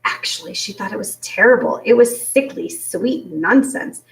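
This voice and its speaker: A woman reading a children's book aloud in an expressive storytelling style. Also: mid-range voice, normal speed, gravelly. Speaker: story reader. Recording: roomy echo, distant from mic.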